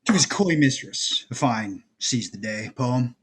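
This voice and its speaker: Actor as a husky, wry, bookish getaway driver. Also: male voice, medium pitch, expressive, a little slow. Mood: wry, detached. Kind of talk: screenplay table read acting.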